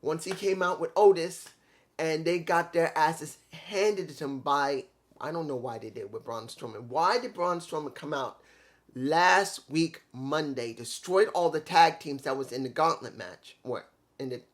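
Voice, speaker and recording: A man's voice: a little high, unhurried. A congested man giving a casual, blunt, opinionated solo review of a wrestling show, rambling with restarts.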